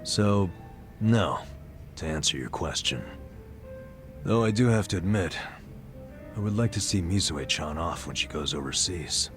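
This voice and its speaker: A man's voice: sits low, expressive, unhurried, pauses often. An actor playing a burned-out, middle-aged former nurse in a video game, telling his story with an American accent and a wry, self-deprecating weariness. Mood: resigned. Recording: music behind the voice.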